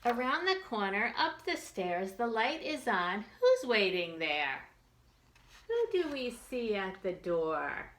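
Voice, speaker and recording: Bright, slow-paced woman reading to children. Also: medium pitch, sing-song. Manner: slow, simple phrasing with questions and asides to young listeners. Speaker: children's librarian storytime host. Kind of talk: read-aloud storytime for young children.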